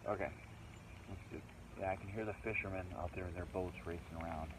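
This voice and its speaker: A man's voice: low voice, steady tone, fast. A middle-aged man vlogging alone while camping in the woods, chatty and thinking aloud with rambling asides, recorded on a phone outdoors. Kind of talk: casual solo camping vlog. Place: outdoors in a wooded island. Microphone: phone camera, handheld selfie or set down nearby. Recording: noisy outdoor phone audio. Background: birds and outdoor ambience.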